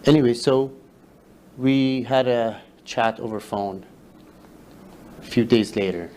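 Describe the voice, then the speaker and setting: A man whose first language is Farsi, a guest telling his story in a casual interview, halting with many fillers and restarts. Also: mid-range voice, a little mellow, a little hiss or hum. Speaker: interview guest, social media creator.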